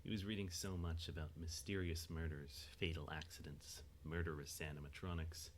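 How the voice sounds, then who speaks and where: A man's voice: very deep voice, little pitch movement, normal speed, slight background noise. A man reading scripted narration for an audio drama as its narrator.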